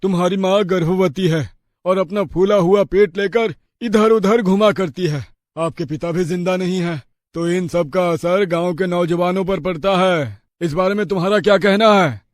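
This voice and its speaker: A man's voice: high voice, fairly even intonation, moderate pace, dry recording, studio quality, natural voice. A man playing a stern village headman with a formal, accusing way of speaking.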